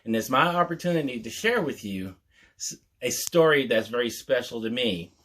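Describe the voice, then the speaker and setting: A man hosting storytime, reading a picture book aloud with mock-earnest asides in a measured storyteller's manner. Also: mid-range voice, lively intonation, fairly bright tone.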